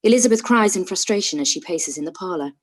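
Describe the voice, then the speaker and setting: A woman narrating stage directions in a live-read radio play, in a plain, even delivery. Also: on the low side, fast talker.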